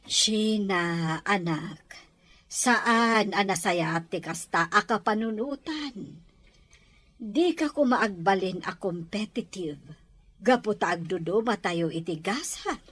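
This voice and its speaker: A woman playing a concerned, reasonable mother in an Ilocano radio drama, with a husky voice. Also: mid-range voice, lively intonation, slow, a bit bright, no echo, full character voice. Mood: gently admonishing.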